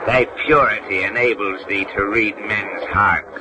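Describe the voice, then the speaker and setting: An actor playing a cursed, brooding sea captain with archaic, ominous, theatrical speech in old-time radio horror style. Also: male voice, lowish voice, fairly even intonation, a little slow, natural voice. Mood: brooding menace. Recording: very noisy old radio drama recording.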